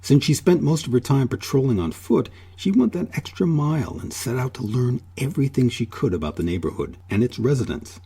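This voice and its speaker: A man narrating a scripted documentary in a measured way, with dramatic pauses and emphasis. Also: mid-range voice, expressive, dark tone, slightly rough. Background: music.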